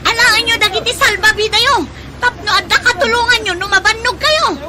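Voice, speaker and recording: A woman's voice: extremely high pitch, brisk, a crowd in the background. A woman with an Ilocano accent playing a frantic passenger on a sinking ferry in a radio drama, with urgent exclamations. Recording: noisy outdoor sound effects behind.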